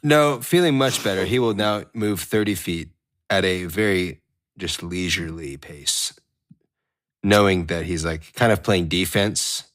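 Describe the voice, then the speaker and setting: A man's voice: sits low, a little slow, fairly bright tone, no echo, studio quality. A man playing a tabletop game, casually narrating his character's moves as he thinks aloud.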